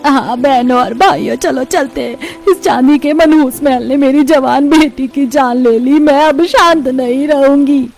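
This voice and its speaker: A woman's voice: sits high, unhurried, hardly any pauses, a little mellow, soft background music, pitched above the performer's own voice. A woman voicing an emphatic, grieving village mother in a cartoon horror story. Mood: grieving resolve.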